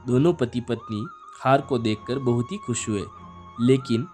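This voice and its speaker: A man's voice: medium pitch, unhurried, darkish tone. A male storyteller with a mid-range voice narrates a children's cartoon fable. He reads from a script, with background music under him.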